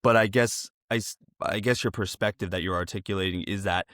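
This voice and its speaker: A man's voice: lowish voice, fairly even intonation, brisk, a bit bright, no echo, studio quality. A man hosting a casual interview, talking in a wordy, affirming, conversational way. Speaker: interviewer, podcast host.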